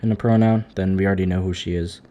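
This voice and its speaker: Low-voiced, offhand male game streamer. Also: steady tone, quick pace, dark tone. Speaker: live streamer. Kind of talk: casual gaming commentary.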